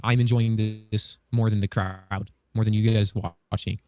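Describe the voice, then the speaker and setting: A man co-hosting a podcast in casual chat, speaking haltingly as he searches for words. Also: on the low side, fairly even intonation, mellow, no echo. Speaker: podcast co-host.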